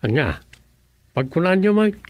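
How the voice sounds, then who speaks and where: A man's voice: high voice, big pitch swings, a little slow. An actor playing an earnest, curious village councilman in a Filipino radio comedy drama, questioning and conversational, with an Ilocano accent. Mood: puzzled.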